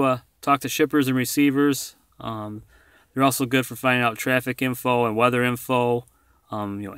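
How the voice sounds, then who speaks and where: Casual vlogger, American male, crisp bright voice, rambling and unpolished, dry close sound. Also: medium pitch, dry recording. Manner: rambling, self-deprecating. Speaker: vlogger, former truck driver. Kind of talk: casual product showcase vlog. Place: inside a parked vehicle, later outdoors beside it.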